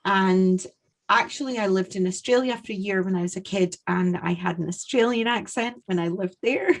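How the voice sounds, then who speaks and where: A woman in her forties from Scotland with a softened Aberdeenshire accent, co-hosting a casual podcast chat from home into a studio mic and laughing often. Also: mid-range voice, moderate pace. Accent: Aberdeenshire Scottish, softened. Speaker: podcast co-host. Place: home room. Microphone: studio mic on boom arm.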